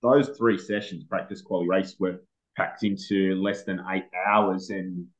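An Australian man speaking as a motorsport editor, relaxed and hesitant with plenty of pauses. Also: sits low, highs cut off. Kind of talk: casual motorsport chat.

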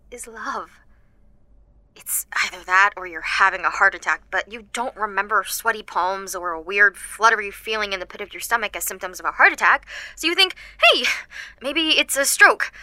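An anxious teenage girl with superpowers in an audio drama. Her voice is bright and keen, and she confides in a halting, self-deprecating way. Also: on the high side, no echo. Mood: nervous, confiding, then frantic, building. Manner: confiding, halting, with nervous laughs and sighs. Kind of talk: first-person audio drama narration and dialogue.

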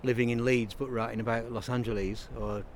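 A man's voice: medium pitch, fairly even intonation, fairly quick, slight background noise. A man, talking as an interview guest in a rambling, reflective way with many restarts. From Burnley, Lancashire, England. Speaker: interview guest, writer and songwriter. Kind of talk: casual interview conversation.

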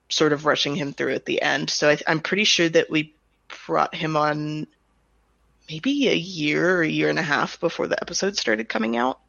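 A woman speaking as an interviewed director, explaining her creative work in a thoughtful, reflective way with softened statements. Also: deep voice, vocal fry, dry recording, lo-fi sound. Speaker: audio drama director and writer being interviewed.

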